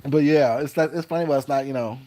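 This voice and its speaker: A man's voice: mid-range voice, fast talker, mellow. A man hosting a casual livestream, rambling through his thoughts, repeating words and greeting viewers by name. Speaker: YouTube livestream host. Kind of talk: casual livestream chat reading comments.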